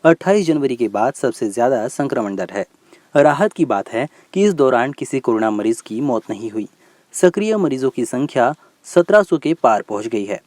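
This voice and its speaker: A man presents scripted news in a medium-pitched voice. His intonation rises and falls in a lively way as he reads each report. The sound is dry, with no room echo. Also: moderate pace. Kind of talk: scripted news narration in a bulletin.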